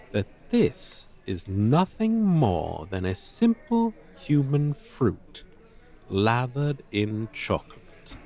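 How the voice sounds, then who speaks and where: A haughty dragon teacher, acted out by a man, holds forth in a theatrical roleplay. The delivery is slow and stately, with pompous, over-formal wording. The pitch swings expressively as the proud creature scorns lowly humans. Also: warm tone. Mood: smug, condescending. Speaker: roleplay voice performer. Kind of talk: ASMR roleplay monologue, theatrical.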